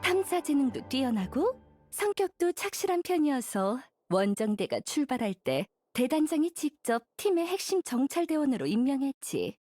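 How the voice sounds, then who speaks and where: A woman's voice: high voice, sing-song, normal speed, a little mellow, dry recording, studio quality, faint music behind. A woman playing a confident, easygoing young woman knight in a game, knowing and conversational. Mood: knowing, casual. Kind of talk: scripted game dialogue.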